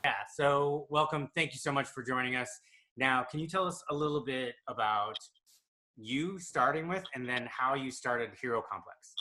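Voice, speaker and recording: Casting: enthusiastic male co-host, quick reactions, sounds recorded in a roomy, echoey space. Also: mid-range voice, bright, forceful tone. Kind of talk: talk show co-hosting.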